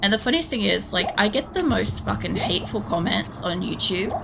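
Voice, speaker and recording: A young woman with a hoarse, worn voice, chatting casually and rambling while she streams a game at home, swearing now and then. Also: mid-range voice, lively intonation, a little fast, very noisy. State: hoarse, losing her voice. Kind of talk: casual chat while playing. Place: home room. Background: game music.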